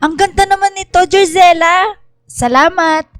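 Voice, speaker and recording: A woman playing a sweet, sentimental young girl with a girlish, expressive way of talking in a radio drama. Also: very high voice, measured pace, no room sound, clean studio sound. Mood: fond. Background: music.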